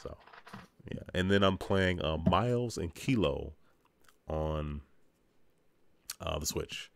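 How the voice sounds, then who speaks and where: Male podcast host, extremely low-pitched, for loose, rambling game reviews with joking tangents. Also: lively intonation, slow pace, creaky, dry recording.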